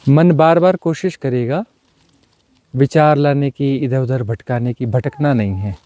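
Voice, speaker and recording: A man leading a guided meditation as a teacher, speaking in unhurried, short, repeated phrases and mixing in some English words. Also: medium pitch, warm tone, dry recording. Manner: mixes English words into Hindi.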